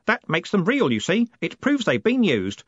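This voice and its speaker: Man voicing an eager, high-pitched earnest boy. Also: moderate pace, slightly rough, no room sound, highs cut off, exaggerated voice. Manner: excitable, earnest, polite.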